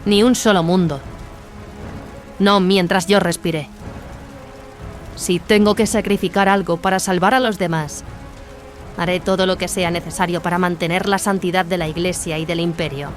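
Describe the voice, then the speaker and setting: An actress playing a resolute, zealous warrior nun who speaks solemnly and with command. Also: female voice, fairly low pitch, fairly even intonation, moderate pace, pauses often, soft background music, close to an everyday voice. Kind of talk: dramatised audio fiction acting.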